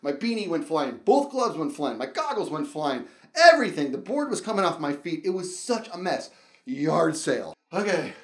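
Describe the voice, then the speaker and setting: A middle-aged man telling a casual, rambling comedic story in an animated way, acting out dialogue and reactions as he goes. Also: a little high, moderate pace, roomy sound. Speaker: storyteller and show host.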